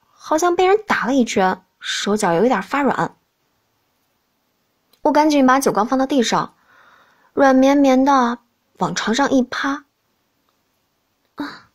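A woman reading scripted first-person fiction as an audiobook narrator. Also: high-pitched.

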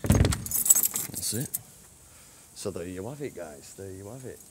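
A man who cleans a train station, giving a casual walking tour on his phone, chatty and rambling, with a deep voice. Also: expressive, slow pace, heavy background noise. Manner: chatty, rambling, says "you know what I mean". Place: old train station toilets and platforms at night. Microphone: handheld phone.

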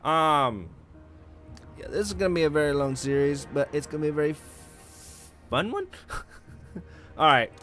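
Excitable man with bright, swooping voice. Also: on the high side, unhurried, pauses often, soft background music. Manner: excitable, thinking out loud. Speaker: let's play host. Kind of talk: casual gameplay commentary.

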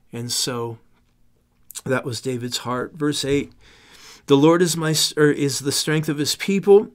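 A man in his fifties preaching a sermon, reading scripture with rising emphasis and dropping to a hushed tone for prayers, on a desktop studio microphone in a small indoor studio. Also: medium pitch. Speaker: pastor. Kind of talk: sermon teaching through a psalm. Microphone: large desktop studio microphone.

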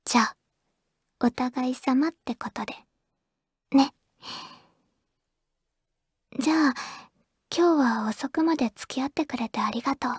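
Shy awkward anime high school girl on a call w her crush, asmr drama, super breathy whispery, slow n stammery. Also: dry recording, studio quality, full character voice. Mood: tender, coy. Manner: hesitant, stammering, bashful. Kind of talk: anime audio drama, intimate ASMR phone-call acting.

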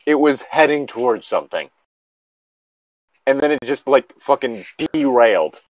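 A man's voice: medium pitch, lively intonation, moderate pace, no room sound, dull audio. A man casually reading a story aloud and commenting on it in an exasperated, sweary way. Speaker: co-host reading and mocking an online horror story.

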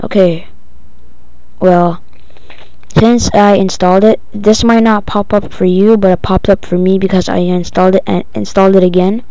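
A female-sounding speaker giving a casual, halting, improvised step-by-step software tutorial. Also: mid-range voice, flat delivery, no echo. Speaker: tutorial presenter. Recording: dull lo-fi home recording.

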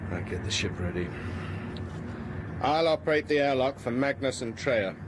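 A man's voice: mid-range voice, animated, normal speed, close to an everyday voice. A man playing a grumpy, commanding spaceship captain in a 1980s radio drama, brusque and authoritative. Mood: brisk. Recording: noisy spaceship cabin sound effects.

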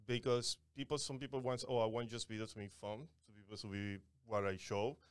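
A man from Venezuela with a Venezuelan Spanish accent, a wedding content creator guesting on a podcast, speaking halting English with restarts on a studio mic. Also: fairly low pitch, flat delivery, clean tone, no room sound. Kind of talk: casual podcast interview. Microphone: studio mic on boom arm, headphones.

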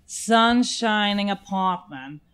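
A woman's voice: medium pitch, expressive, takes it slowly, fairly bright tone, clean tone. Brusque, wisecracking rental agent played by a woman. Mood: mocking. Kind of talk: amateur radio play acting.

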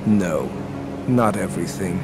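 An actor playing a cold, composed young villain in a video game, solemn and cryptic. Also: male voice, sits low, a little slow, vocal fry, music in the background. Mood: cryptic, calm. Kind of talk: video game cutscene acting.

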